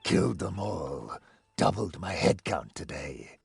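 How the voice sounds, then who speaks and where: A man voicing a menacing, brutal enforcer in a fantasy game, terse and threatening, with a gravelly rasp. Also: low voice, big pitch swings, measured pace, no echo, heavily acted. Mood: grim menace.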